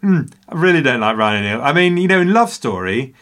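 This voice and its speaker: A man co-hosting a casual film podcast. His humour is wry and dry, and he slips into little acted-out bits of dialogue. His voice sits at an ordinary middle pitch. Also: very animated, fairly quick, fairly bright tone. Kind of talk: casual film review chat.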